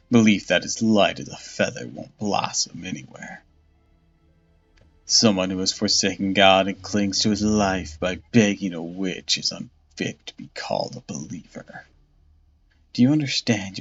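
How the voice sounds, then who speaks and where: A man voicing a vengeful undead female saint who taunts her killer slowly and coldly. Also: sits low, slightly rough, exaggerated voice. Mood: mocking. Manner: slow, cold, mocking, theatrical. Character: vengeful undead woman saint, cold and mocking. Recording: dull lo-fi audio with faint music.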